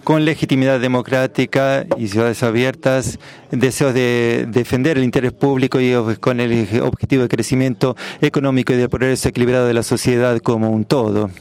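A man giving live interpretation of a minister's speech, steady and formal with occasional self-corrections. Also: mid-range voice, a little fast. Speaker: simultaneous interpreter.

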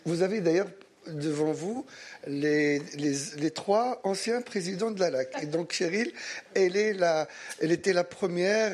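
A man chairing a meeting, formally introducing the next speaker in a halting way, with restarts. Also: fairly high pitch, a little mellow, slight background noise.

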